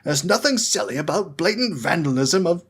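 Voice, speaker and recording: A man's voice: fairly high pitch, animated, slightly rough, pitched above the performer's own voice. A man voicing a grumpy, wheezy old male caretaker who snarls his complaints. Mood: angry. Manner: snarling, slow and scornful.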